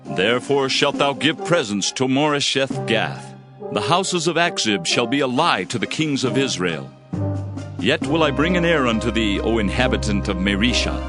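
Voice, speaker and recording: Male scripture narrator, solemn, oratorical, highly animated intonation, dramatized reading, music behind. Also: moderate pace. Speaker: audio Bible narrator.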